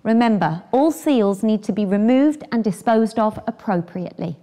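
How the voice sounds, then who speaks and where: A woman's voice: medium pitch, lively intonation, normal speed, warm tone. A British woman in her thirties presenting scripted instructions for a training film.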